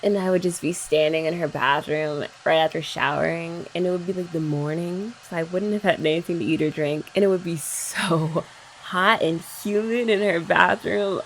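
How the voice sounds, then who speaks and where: Young woman, ASMR girlfriend roleplay, sweet and soft-spoken, slightly low pitch. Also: moderate pace, slight background noise, rough recording. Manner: soft, chatty and sweet, often laughing softly.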